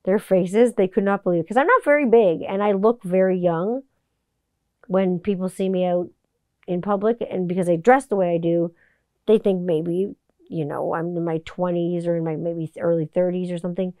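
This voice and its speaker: A woman in her forties hosting a podcast, talking in a blunt, profane, animated monologue. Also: on the low side, normal speed, clear, resonant tone, no room sound, clean studio sound. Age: 40s. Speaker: podcast host. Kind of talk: casual monologue.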